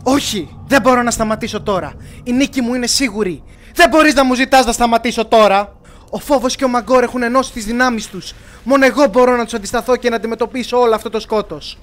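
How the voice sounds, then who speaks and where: A man's voice: very high-pitched, fairly bright tone. A man voicing a determined young cartoon hero, the lord of light, speaking in an earnest, declamatory way. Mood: defiant.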